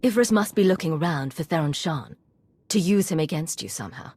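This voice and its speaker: A woman's voice: sits low, expressive, fairly quick, a little mellow, breathy edge, no echo. A woman voicing a composed imperial spy in a video game, controlled and probing. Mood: persuasive. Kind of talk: video game character acting.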